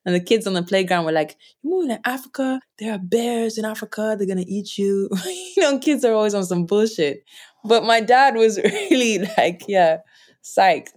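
A young woman answering interview questions, telling personal stories in a relaxed, chatty way and laughing often. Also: moderate pace. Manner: animated, laughs often, jumps up in pitch when quoting others. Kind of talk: reflective conversational storytelling.

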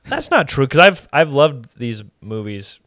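A man's voice: mid-range voice, very animated, unhurried, pushed and bright, no echo, clean studio sound. A man co-hosting a podcast, chatting casually in an easygoing, rambling, hedging way. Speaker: podcast co-host. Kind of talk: casual conversational chat.

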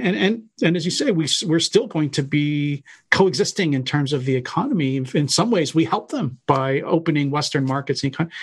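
A man's voice: a little high, slightly rough. A man hosting a conversational policy interview, thinking aloud as he builds long, discursive questions. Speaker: podcast host, interviewer.

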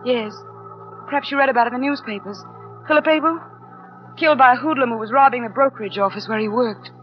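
High-voiced actress as a gentle young widow, vintage radio. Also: female voice, fast talker, music in the background, lightly acted. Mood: sorrowful. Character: gentle, newly widowed young mother, apologetic and wistful. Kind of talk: 1940s radio drama acting. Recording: muffled 1940s radio broadcast recording.

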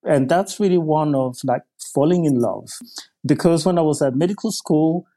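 A man, a physician guest on a podcast, explaining in a measured way with small hesitations. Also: a little high, mellow. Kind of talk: podcast interview answer.

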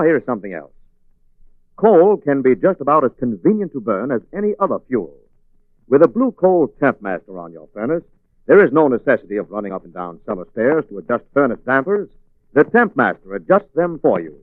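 A male radio announcer from the 1940s with a mid-pitched voice and lively, sweeping intonation. He reads his script in a polished, salesmanlike way on a thin, narrow-band old recording. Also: no room sound.

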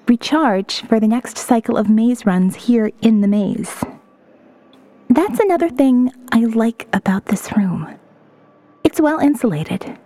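Woman voicing a young survivor, introspective, high-pitched, closely recorded. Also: darkish tone, no room sound. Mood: somber, pensive. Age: young adult. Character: young woman survivor writing a reflective apocalypse diary. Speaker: audiobook narrator. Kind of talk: first-person dramatic audio novel narration.

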